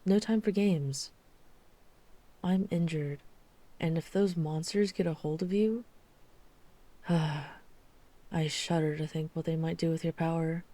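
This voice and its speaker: A woman playing a guarded, weary vampire hunter who is herself a vampire, speaking in a measured, serious way in an ASMR roleplay. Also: deep voice, dark tone. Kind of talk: ASMR roleplay audio drama acting.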